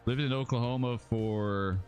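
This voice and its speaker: A man's voice: medium pitch, steady tone, unhurried, darkish tone, faint music behind. A man from Alabama, casually commentating his live stream, rambling and chatting with viewers. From Alabama, USA. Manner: laid-back, rambling, chats with viewers, says dude and bro. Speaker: livestreaming gamer host.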